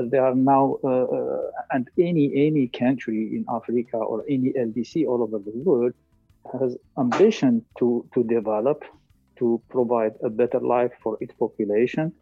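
Husky Algerian man on a phone-like line. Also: medium pitch, no room sound. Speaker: climate policy consultant, interview guest. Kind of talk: explanatory interview answers. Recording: thin phone-like call audio, faint music.

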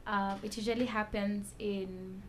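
A woman telling her own story as a talk-show guest, soft-spoken and measured, pausing with fillers. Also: medium pitch, flat delivery, slight background noise. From Kenya. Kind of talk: personal testimony in a talk show.

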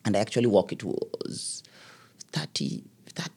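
A middle-aged man, an event MC telling a story as an interview guest, lively and acting out the voices he quotes. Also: lowish voice, normal speed, slightly rough. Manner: lively, acts out quoted voices, laughs often.

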